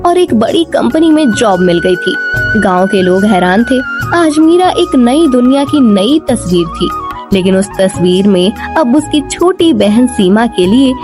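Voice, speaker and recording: Woman narrator, high pitch, scripted moral storytelling, background music. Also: very animated, measured pace.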